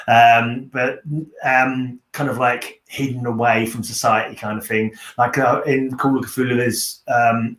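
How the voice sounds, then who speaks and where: A man's voice: mid-range voice, a bit bright. A man hosting a casual book discussion, talking in a rambling, tentative way with frequent hedging. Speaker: host of a horror fiction read-along. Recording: roomy, echoey sound.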